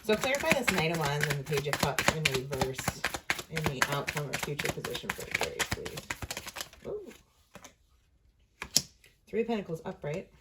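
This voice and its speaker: A woman giving a casual, unscripted tarot reading, talking conversationally and thinking aloud with pauses as she goes. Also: sits low, big pitch swings, heavy background noise. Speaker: tarot reader hosting an online reading.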